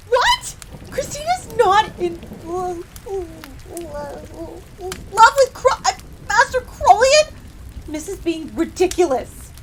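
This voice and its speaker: Tsundere fox maid for audio rp, high voice, jealous n huffy, gets flustered easy. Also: female voice, a bit bright, slight background noise, full character voice. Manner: indignant, stammering when flustered, refers to herself in third person. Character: flustered, jealous fox maid, haughty yet self-deprecating tsundere servant. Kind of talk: roleplay audio drama.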